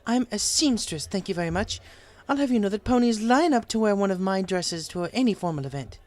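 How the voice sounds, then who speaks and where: A man's voice: high-pitched, animated, fairly quick, pitched above the performer's own voice. A man voicing a refined, melodramatic female pony seamstress in an audiobook, prim, genteel and given to wistful whining. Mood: indignant. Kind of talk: audiobook character voice.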